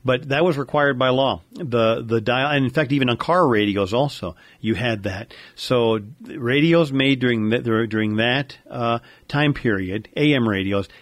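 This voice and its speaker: A man's voice: medium pitch, normal speed, no room sound. A man with an American accent explaining technical matters informally, like a knowledgeable regular guest on a radio-themed podcast.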